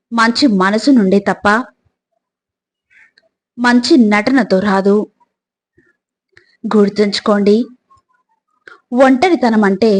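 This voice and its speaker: A woman reading scripted motivational narration in short, deliberate phrases, often turning to the listener. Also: medium pitch.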